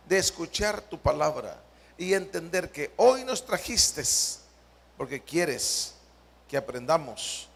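A Salvadoran man preaching a Bible study as pastor, with slow, emphatic delivery that stretches key words and puts questions to the congregation. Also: sits high, vocal fry, husky. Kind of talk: sermon. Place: church hall. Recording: long church-hall reverb.

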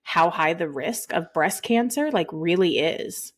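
A woman's voice: on the low side, lively intonation, measured pace, slightly rough. A woman from Texas, co-hosting a podcast in a rambling, casual way with lots of fillers. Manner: rambling, laughs often, many fillers. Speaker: podcast co-host.

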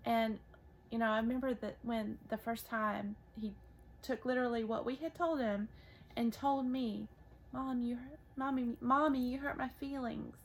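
A soft-voiced woman in her thirties speaks gently and haltingly, with plenty of vocal fry and a slightly high pitch. Faint noise sits under her voice. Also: steady tone, poor audio. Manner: gentle, halting, many restarts. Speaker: podcast co-host, mother. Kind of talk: casual faith conversation.

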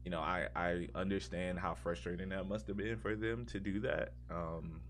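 Deep-voiced man chatting casually, noisy recording. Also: steady tone, normal speed, fairly bright tone. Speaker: podcast co-host. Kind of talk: casual chat about video games. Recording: audible hum and background noise.

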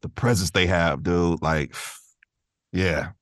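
A man with a low-pitched voice talks casually as an interview guest. He sounds earnest and enthusiastic, phrasing things in a loose, slangy way. The sound is dry, with very little room in it. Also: steady tone, slow pace, a bit bright, dry recording. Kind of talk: casual conversation.

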